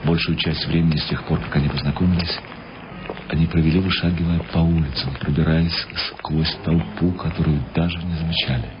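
A man's voice: extremely low pitch, fairly even intonation, brisk. A man reading scripted literary narration in the manner of a radio drama. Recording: dull lo-fi radio sound. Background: music behind the voice.

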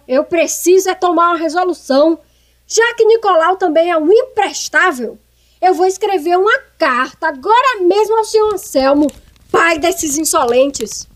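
An actress plays a domineering mother-in-law with a Northeastern Brazilian accent in a comic radio soap. Her very high-pitched voice swoops theatrically as she scolds, over faint music. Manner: scolding, exclamatory. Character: irascible, domineering middle-aged mother-in-law, loud and theatrical.

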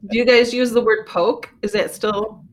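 A woman's voice: on the high side, fairly even intonation, moderate pace. A woman speaking casually as a guest in an interview. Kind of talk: casual chat.

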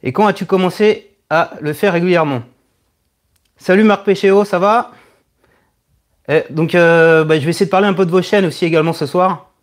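Man, late thirties, fairly high voice, casual live Q&A host, halting delivery. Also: steady tone. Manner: halting, hesitant, repeats words as he thinks. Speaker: host of a live Q&A stream, online video creator. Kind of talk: casual live chat answering viewers' questions.

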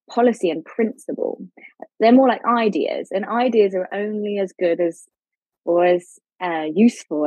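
A woman's voice: fairly low pitch, a little mellow, breathy edge, dry recording, poor audio. A woman speaking as a legal expert guest in a podcast interview, explaining things informally and conversationally. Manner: informal, explains with everyday analogies.

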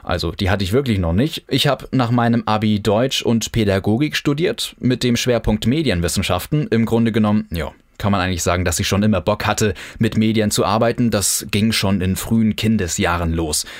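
A man's voice: on the low side, brisk, hardly any pauses, dry recording, clean studio sound. A young man from northern Germany hosting his own podcast, talking loosely with lots of fillers and restarts. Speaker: podcast host. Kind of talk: casual podcast monologue.

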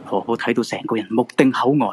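A man's voice: medium pitch, fast, a little hiss or hum. A man with a Hong Kong Cantonese accent narrating a scripted ghost-story radio drama. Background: background music.

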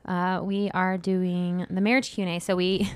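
A woman's voice: fairly low pitch, flat delivery, normal speed, clean tone, no echo. A woman in her early thirties co-hosting a podcast in a casual, candid and self-deprecating chat. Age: early 30s. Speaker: podcast co-host.

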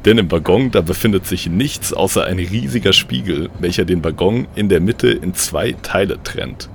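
A man's voice: deep voice, normal speed, gravelly, a little hiss or hum. A man narrating a comic audio play as host, with a playful, mock-dramatic storytelling manner.

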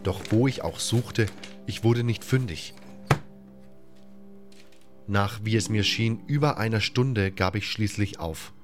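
A man's voice: on the low side, slow pace, pauses often, over music. A man reading a first-person adventure story as a scripted audiobook narration.

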